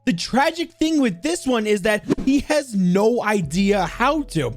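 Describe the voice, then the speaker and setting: A man's voice: sits high, big pitch swings, a little slow, soft background music. A man hosting a countdown video on video game lore, talking in an enthusiastic commentary style.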